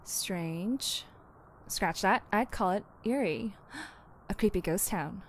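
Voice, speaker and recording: A woman's voice: medium pitch, expressive, takes it slowly, slight background noise. A woman playing a secretive, playful female co-worker in an audio drama, with breezy, teasing banter. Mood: curious, lively. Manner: breezy, flirty banter with laughs. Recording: music bed behind the voice.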